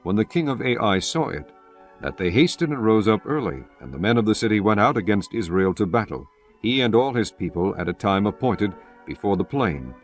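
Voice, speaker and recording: A man narrating scripture in a measured, solemn, formal way. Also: on the low side, expressive, soft background music.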